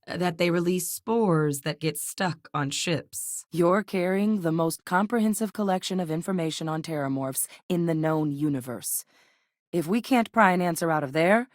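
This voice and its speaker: A composed middle-aged woman played by a voice actress with a low-pitched voice, recorded very dry. She is an earnest scientist and war veteran in a space video game, articulate and measured in her delivery. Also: dry recording, lightly acted. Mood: troubled, reflective. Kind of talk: scripted video game dialogue.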